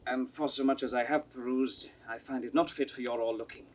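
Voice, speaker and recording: A man's voice: mid-range voice, steady tone, a little hiss or hum, lightly acted. A man acting a scheming, bitter young villain. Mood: feigned reluctance. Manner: theatrical, deliberate, drops into conspiratorial whispers. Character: scheming, bitter young bastard son of a nobleman. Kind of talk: classical Shakespearean stage acting. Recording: 1960s studio audio drama recording.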